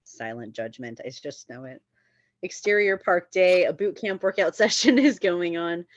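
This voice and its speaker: A woman's voice: on the low side, animated, normal speed, no echo, dull audio. A woman reading screenplay stage directions as the narrator of a table read.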